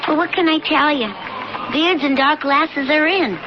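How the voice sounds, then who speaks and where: High-voiced brassy American waitress. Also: female voice, unhurried, noisy background. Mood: wary, brassy. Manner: slangy, sassy, blunt. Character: brassy, wary, streetwise waitress named Trixie. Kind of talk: 1980s radio drama acting. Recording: dull lo-fi radio broadcast.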